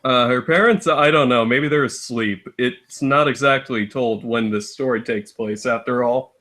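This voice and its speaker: A man's voice: medium pitch, normal speed, bright, forceful tone. A man chatting casually as a guest co-commentator, reacting with short remarks. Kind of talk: casual chat.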